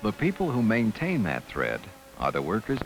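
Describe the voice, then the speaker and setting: This is a man with a slightly low voice narrating an educational film. His intonation moves around a lot, and music plays behind him on the aged soundtrack. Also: huge pitch swings, normal speed. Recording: vintage 16mm film soundtrack.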